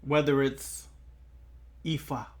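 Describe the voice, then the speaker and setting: A man giving an esoteric lecture like a preacher, speaking in deliberate, halting phrases with long pauses and repeating key lines for emphasis. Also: medium pitch, little pitch movement. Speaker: occult lecturer.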